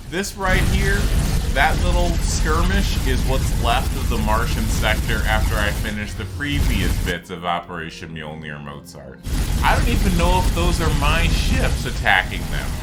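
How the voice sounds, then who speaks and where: A man giving unscripted game commentary, explaining things in a rambling way and circling back to restate his points. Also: medium pitch. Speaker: gameplay video host.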